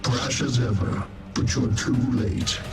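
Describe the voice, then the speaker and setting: A man's voice: medium pitch, takes it slowly, close to an everyday voice. A man voicing a cold, calculating male robot hedgehog villain who speaks in a formal, threatening way. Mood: gloating. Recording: echoey with music behind.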